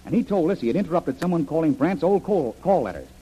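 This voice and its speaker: An actor playing a decisive, troubled adventurer man with a husky voice in an old-time radio adventure. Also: a little high, big pitch swings, fast, mellow. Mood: worried. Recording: dull old radio recording.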